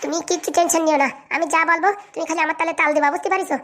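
A woman's voice: very high voice, steady tone, racing, fairly bright tone. A woman playing a cocky, scheming young woman in a comic cartoon, brash and boastful. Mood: boastful, scornful.

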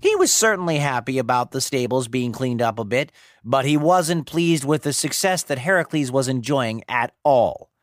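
A man's voice: mid-range voice, expressive, normal speed, no echo, clean studio sound. A man narrating a story for children as a podcast host, playful and wry, with dramatic emphasis. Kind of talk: animated storytelling narration of Greek myths for children.

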